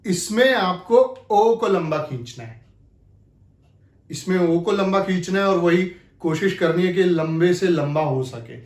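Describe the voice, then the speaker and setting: A man's voice: sits high, animated, measured pace. A man giving an explanatory acting lesson, speaking straight to the viewer. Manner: explanatory, addresses the viewer directly. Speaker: acting coach and presenter. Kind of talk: instructional video talk with spoken demonstrations. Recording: echoey, reverberant room.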